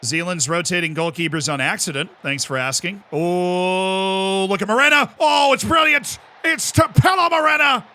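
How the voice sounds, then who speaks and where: An American man live-streaming a game, commenting excitedly, shouting at big moments and cracking jokes in between. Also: high voice, normal speed, a little hiss or hum. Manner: excitable, shouts at goals, jokey asides.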